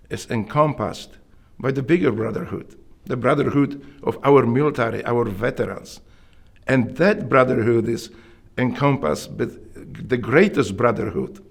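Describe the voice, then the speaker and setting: A middle-aged man with a Polish accent, earnestly telling his story in an interview, often halting and restarting his sentences. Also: mid-range voice, vocal fry, slightly rough. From Poland. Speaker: interviewee, Navy SEAL veteran.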